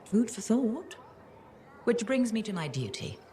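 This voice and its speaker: An actress playing a scatterbrained, affectionately bossy chronicler in a video game, with genteel, wordy, theatrical phrasing. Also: female voice, a little high, sing-song, measured pace, mellow, slight background noise. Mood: earnest, lofty. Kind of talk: video game character dialogue.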